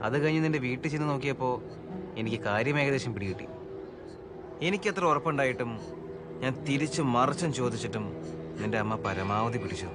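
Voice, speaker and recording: A man's voice: medium pitch, animated, brisk, pauses often, noisy background, natural voice. A man playing a stern, authoritative, insistent police officer in a film drama. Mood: grave, reproachful. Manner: authoritative, insistent, repeats questions. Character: stern, probing male police officer interrogating suspects. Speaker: actor in a film. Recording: film audio with loud music.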